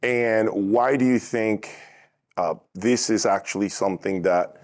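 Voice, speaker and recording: A man's voice: fairly low pitch, a little slow, darkish tone. A man hosting a casual on-location interview, speaking emphatically as an interviewer and reviewer. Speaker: interviewer and audio review show host.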